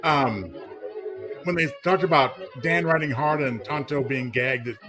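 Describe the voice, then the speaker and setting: A man's voice: medium pitch, measured pace, music in the background. A man co-hosting a casual chat about old-time radio. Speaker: co-host.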